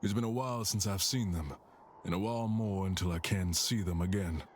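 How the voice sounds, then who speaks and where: Mystery game role, male actor: courteous lonely traveler, lowish pitch, gentle wistful delivery, slight background ambience. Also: a little fast, a little hiss or hum, close to an everyday voice. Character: gentle, polite man lonely far from home.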